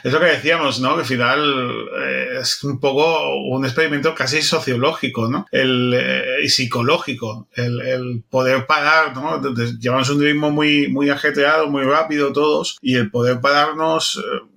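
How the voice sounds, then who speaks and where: A man's voice: mid-range voice, steady tone, normal speed, vocal fry, few pauses, pushed and bright. A man in casual discussion, talking reflectively and often checking for agreement. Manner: reflective, repeats himself, seeks agreement with tag questions.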